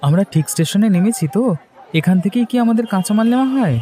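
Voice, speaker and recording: A man voicing a young grown son in a moral-tale cartoon, dutiful yet wanting his own way. His voice sits high for a man and has a soft, mellow tone. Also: lively intonation, fast talker, husky. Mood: easygoing. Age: young adult. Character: young adult son, fresh graduate, dutiful but independent-minded. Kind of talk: Bengali cartoon story dialogue.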